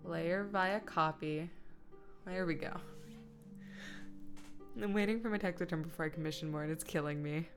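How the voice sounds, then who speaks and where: A woman's voice: on the low side, moderate pace, long pauses. A woman chatting casually on a livestream as she works, playful and silly, with self-mocking asides and bits of muttering to herself. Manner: mutters and hums to herself while working. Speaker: streamer and host. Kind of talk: casual livestream chat while editing. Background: background guitar music.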